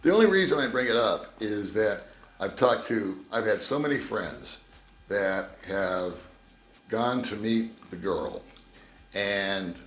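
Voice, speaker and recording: A man's voice: fairly low pitch, animated, slow pace, a bit bright, echoey room. A man on a podcast arguing his point in a casual, joking way. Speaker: guest. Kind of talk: casual chat.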